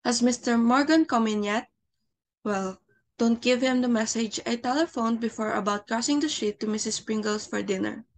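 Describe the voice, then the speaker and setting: A woman's voice: on the high side, normal speed, natural voice. A woman playing a snobbish, domineering society mother in an amateur reading of a stage comedy, haughty and easily flustered. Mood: brisk, bossy.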